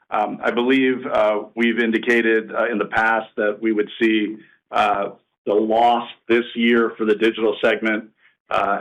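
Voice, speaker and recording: Male corporate executive, low register, little inflection, measured and hesitant delivery for an earnings Q&A. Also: fairly bright tone, rough recording. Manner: halting, measured. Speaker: company executive. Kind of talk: earnings call answer to an analyst.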